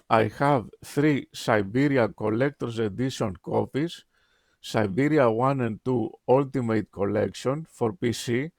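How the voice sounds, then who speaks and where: A man whose first language is Greek, reading his written game review aloud in a slow, deliberate, emphatic way with many pauses. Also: mid-range voice, vocal fry, dry recording, clean studio sound.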